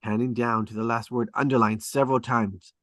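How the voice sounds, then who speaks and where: A man's voice: mid-range voice, flat delivery, a little fast, no echo, clean studio sound. A man reading stage directions as dramatic scripted narration at a table read.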